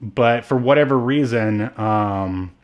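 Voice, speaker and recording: A man co-hosting a casual film discussion, thinking aloud in a halting, wry manner. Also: medium pitch, highs cut off. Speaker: podcast co-host.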